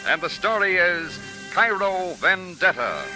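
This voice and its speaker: A man's voice: sits high, lively intonation, unhurried, sharp tone, soft background music, rough recording. A man announcing on vintage radio, reading sponsor spots and credits with polished, emphatic salesmanship. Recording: old radio broadcast recording.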